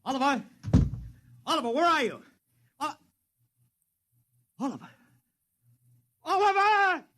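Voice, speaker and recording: A desperate publicity man in 1950s radio drama. His voice is rather high and swings wildly in pitch, hot-tempered and tough-talking. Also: slow pace, pauses often, heavily acted. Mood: alarmed calling. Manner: urgent, tough-talking, excitable.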